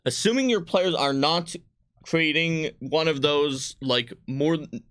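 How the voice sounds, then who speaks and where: A man's voice: a little high, takes it slowly, a bit bright. A man working as a game designer, answering questions in casual, discursive panel chat and often rewording himself. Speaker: game designer on a company livestream.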